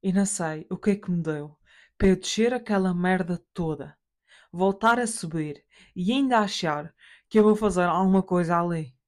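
A young Portuguese woman with a slightly low voice hosts a casual solo show. She tells family stories in an animated, slangy manner, swearing freely and slipping into quoted dialogue. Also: moderate pace. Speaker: podcast host telling family anecdotes.

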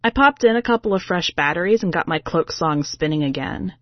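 A woman with a lowish voice narrates fiction in the first person. Her delivery is colloquial and streetwise, like someone recounting a story. The recording is very dry and a little dull. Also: animated, a little fast, no echo. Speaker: podcast host and story reader.

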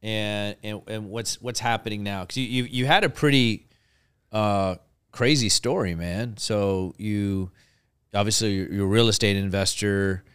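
Deep, smooth man hosting a podcast. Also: measured pace, no room sound, clean studio sound. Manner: relaxed, casual, swears freely, many fillers. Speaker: podcast host. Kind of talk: casual interview chat.

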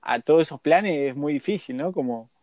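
A man chats easily, agreeing and adding his own stories. His voice sits somewhat high, and the recording is dry with no room sound. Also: a little fast, darkish tone, no echo. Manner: agreeable, tells anecdotes. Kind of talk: casual conversation.

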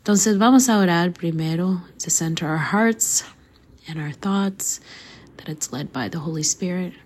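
Hoarse low-voiced woman podcaster. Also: moderate pace. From Canada. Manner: earnest, laughs easily, drops to a soft near-whisper. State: hoarse from a flu. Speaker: podcast host. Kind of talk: casual faith podcast talk and prayer.

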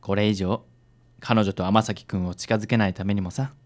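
A woman playing a suave, meddling man in a radio drama, with a smooth, theatrical, teasing manner. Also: very deep voice, steady tone, moderate pace, darkish tone. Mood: sly, persuasive. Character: suave, meddling man, wry detective-office hanger-on.

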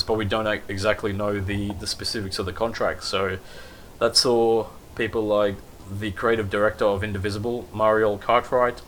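A man with a lowish voice talks through a news recap in a loose, rambling way, leaning on fillers. A faint hum of background noise sits under him. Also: fairly bright tone. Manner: rambling, many fillers like basically and kind of. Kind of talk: casual news commentary.

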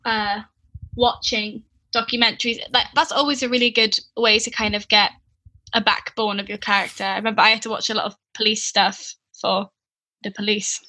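A woman's voice: medium pitch, level tone, moderate pace, sharp tone, low-quality recording. A young woman from Llanelli with a Welsh accent, chatting and asking questions in an enthusiastic way. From Wales. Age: young adult. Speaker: interviewer. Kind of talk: casual chat.

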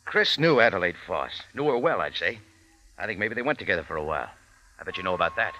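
An actor playing a tough, wry bar owner hero in an old radio crime drama, narrating and talking in terse, slangy phrases. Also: male voice, deep voice, sing-song, quick pace, pushed and bright, heavily acted. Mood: needling. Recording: dull vintage radio recording, music behind.

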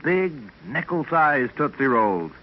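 A man's voice: on the high side, very animated, slow. A man playing an enthusiastic American sponsor announcer, giving an emphatic old-time radio candy advertisement. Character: eager, enthusiastic candy pitchman. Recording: muffled old radio recording.

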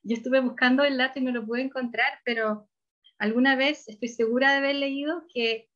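A woman's voice: sits high, steady tone, fairly quick, fairly bright tone, dull audio. Thoughtful woman in her forties. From Chile. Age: 40s. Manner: thoughtful, self-correcting, frequent "como". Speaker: researcher guest. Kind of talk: conversational interview answers.

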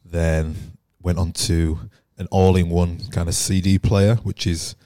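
Thirties male guest, chatty storyteller; very low, mellow voice, dry sound. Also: little pitch movement, measured pace, no room sound. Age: 30s. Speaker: podcast guest. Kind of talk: casual chat.

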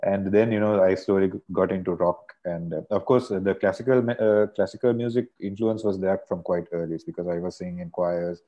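A middle-aged singer from Sri Lanka, a guest in an interview, talking casually with many fillers and restarts. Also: male voice, low-pitched, flat delivery, brisk, few pauses, mellow, dull audio. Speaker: guest, classical baritone singer.

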